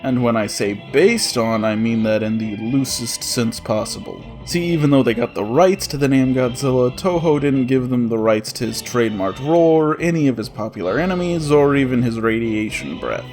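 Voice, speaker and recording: A man hosts a comedic cartoon review in a sarcastic, sweary way. His voice sits at an ordinary middle pitch, and faint music plays along with his scripted narration. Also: moderate pace, hardly any pauses, a little mellow. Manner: sarcastic, profane. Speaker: host of a comedic review show.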